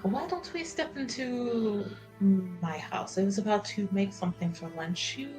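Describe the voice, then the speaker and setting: A woman's voice: mid-range voice, a little slow, darkish tone, soft background music, close to an everyday voice. A woman voicing a composed, gracious noblewoman with a polite, formal manner in a tabletop fantasy game.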